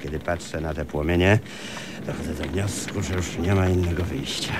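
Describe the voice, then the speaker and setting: Male actor as choleric, bluntly confiding husband; radio comedy, lowish voice, noisy sound scene. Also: fairly even intonation, moderate pace, natural voice. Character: impulsive, choleric married neighbour, bluntly confiding. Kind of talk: comic radio play acting. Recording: radio play with clear background noise.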